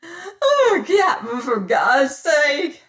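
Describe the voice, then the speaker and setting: A man's voice: sing-song, slow pace, a bit bright, full character voice. Squealing fat pirate man. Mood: pleading. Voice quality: high-pitched. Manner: squealing, pleading, gloating. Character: fat, cruel pirate boatswain's mate, cowardly.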